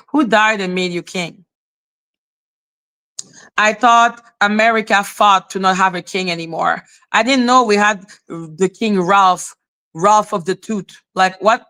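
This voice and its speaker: Live-stream host, bright crisp voice, profane, sarcastic, indignant rant. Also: mid-range voice. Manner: sarcastic mocking questions. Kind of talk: casual live-stream commentary.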